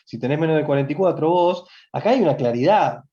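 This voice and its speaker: A man's voice: fairly high pitch, expressive, quick pace, mellow, dull audio. A man in his forties with a Rioplatense Spanish accent, teaching from the Gospel in a livestreamed sermon, speaking conversationally and addressing listeners directly. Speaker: preacher.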